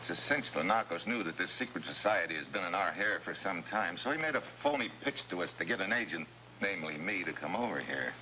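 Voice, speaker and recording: An actor playing a tough, wry American special agent with clipped, wisecracking delivery in a 1950s-style television crime drama. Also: male voice, sits low, lively intonation, quick pace. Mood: brisk, probing. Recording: dull, noisy old TV soundtrack.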